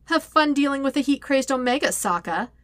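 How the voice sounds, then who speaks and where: A woman's voice: sits high, expressive, fairly quick, dry recording, pitched above the performer's own voice. A woman voicing a stubborn, scornful young woman in a story reading, sarcastic and curt. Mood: sweetly mocking.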